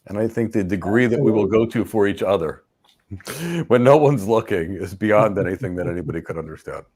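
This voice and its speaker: A man hosting a live stream who is moved and grateful, speaking softly as he thanks his audience. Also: fairly low pitch, lively intonation, fairly quick, slightly rough. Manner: touched, grateful, soft-spoken. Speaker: host.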